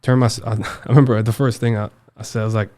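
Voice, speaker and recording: An American man in his twenties recounting a personal story as a podcast guest, halting and emphatic, often repeating himself. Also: fairly low pitch, little pitch movement, dark tone. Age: 20s. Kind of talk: personal storytelling in a casual interview.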